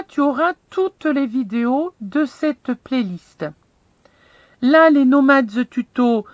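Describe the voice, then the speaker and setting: A woman's voice: on the high side, no room sound. A middle-aged woman vlogging from inside her camper van, casually walking viewers through a screen step by step with a chatty, self-deprecating manner.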